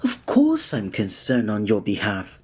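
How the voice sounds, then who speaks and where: Man acting a breathy, courteous fantasy innkeeper. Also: on the low side, wildly swooping pitch, measured pace, exaggerated voice. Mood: tender, hopeful. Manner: formal old-fashioned diction, gentle and attentive. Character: kindly, flirty male village innkeeper, courteous and tender. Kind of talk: scripted roleplay audio drama, one-sided conversation with the listener.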